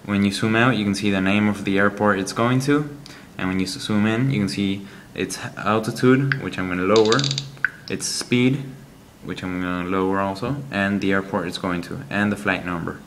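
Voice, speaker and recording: Low-voiced man demoing a game. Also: steady tone, fairly bright tone, slight background noise. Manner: halting, explanatory, frequent ums. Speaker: app reviewer. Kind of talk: game review walkthrough.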